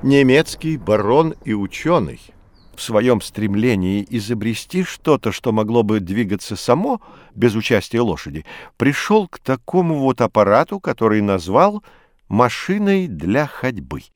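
A man playing a patient, knowledgeable teacher who explains with expressive storytelling in a children's educational audio drama. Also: medium pitch, unhurried, no room sound. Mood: patient, explaining.

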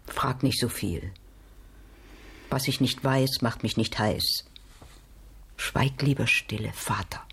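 A husky, weary, guarded wife. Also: female voice, extremely low pitch, slow, pauses often, fairly bright tone, full character voice. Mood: evasive, pleading. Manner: plain-spoken, tired, guarded. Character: weary, evasive wife who stands up for herself. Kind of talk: radio drama acting.

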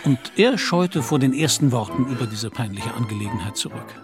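A man narrating a literary radio play as a composed storyteller, measured and articulate. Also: medium pitch, lively intonation, music in the background, lightly acted. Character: composed male storyteller of a Parisian novel.

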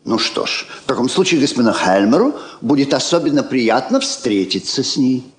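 A man's voice: medium pitch, sing-song, a little fast, natural voice. An actor playing an urbane, wryly ironic elderly king who philosophizes, in a Soviet radio play. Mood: wry, amused. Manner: wry, philosophizing.

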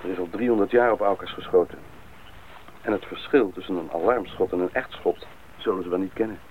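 A man playing a level-headed bush pilot in a radio drama, earnest and deliberate. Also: sits low, phone-quality sound, close to an everyday voice. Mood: serious. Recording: noisy, thin old radio recording.

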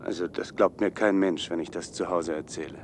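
A man's voice: low-pitched, steady tone, brisk, a little mellow, slight background noise, natural voice. An actor playing an earnest male visitor in a science fiction radio play, explaining things with some urgency. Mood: baffled.

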